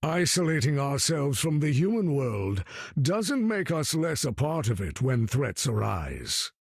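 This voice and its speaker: Militant game mutant leader, stern, proud, creaky grit, formal declamation, dry sound. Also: male voice, medium pitch, big pitch swings, measured pace, no echo, full character voice. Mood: stern, grave. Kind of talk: video game voice acting.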